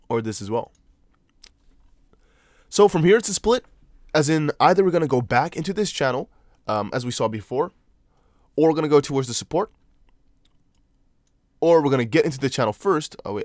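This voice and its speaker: A young man whose first language is Dutch, speaking English with a Dutch accent. He hosts a casual crypto livestream, chatting loosely, reading chat aloud and thinking out loud with lots of fillers. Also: mid-range voice, no echo, clean studio sound. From Netherlands. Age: young adult. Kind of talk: casual livestream chart analysis.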